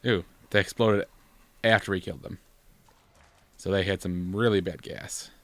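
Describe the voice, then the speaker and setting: A man's voice: sits low, steady tone, moderate pace, fairly bright tone. A man co-hosting a gaming show with casual, playful, wisecracking commentary. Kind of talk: casual joking let's play commentary.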